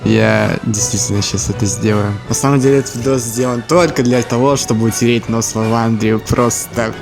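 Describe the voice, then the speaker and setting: A man's voice: medium pitch, steady tone, normal speed, gravelly. A man narrating a tongue-in-cheek video essay as host, with a blunt, slangy, mock-serious delivery. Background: background music.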